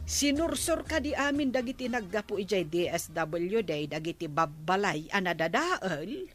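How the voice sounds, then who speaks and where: A woman's voice: medium pitch, very animated, normal speed, a bit bright, faint music behind. A woman with an Ilocano Filipino accent playing a chatty village woman passing on neighbourhood news in a radio comedy drama. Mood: gossipy.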